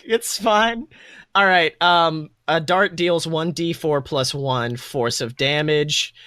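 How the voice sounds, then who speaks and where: A man's voice: fairly high pitch, slow pace, sharp tone, smooth, no room sound, clean studio sound. A young man casually narrating a tabletop game as game master, playful, exclamatory and sweary. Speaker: game master and podcast host.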